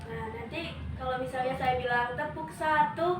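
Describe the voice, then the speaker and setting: High-voiced young woman, echoey room. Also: moderate pace, over loud music. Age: young adult. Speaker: student counselor leading a group counseling session. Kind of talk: semi-formal guided group talk. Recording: distant, echoey room with loud noise.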